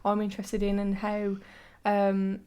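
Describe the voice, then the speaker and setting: A woman's voice: medium pitch, monotone, unhurried, mellow, clean tone. A young woman co-hosting a podcast, agreeable and often stumbling over her words. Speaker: podcast co-host. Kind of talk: casual chat.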